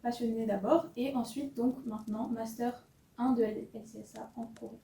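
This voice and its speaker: A woman's voice: on the high side, fairly even intonation, normal speed, vocal fry, warm tone. A woman in her early twenties from southern France, a master's student, explaining her studies in casual chat. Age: 20s. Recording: echoey room, mic far off.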